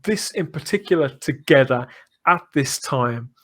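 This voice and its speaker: A man's voice: medium pitch, expressive, moderate pace, fairly bright tone. A British man hosting a casual fan podcast, chatty and often repeating phrases as he builds a point. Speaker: podcast host.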